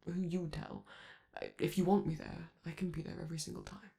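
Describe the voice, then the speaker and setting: A man voicing a flamboyant, affectionate male robot star who speaks softly and teasingly to his partner in a romantic ASMR roleplay. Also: fairly high pitch, huge pitch swings, brisk, creaky, heavily acted. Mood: tender. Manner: soft, doting, teasing, reassuring.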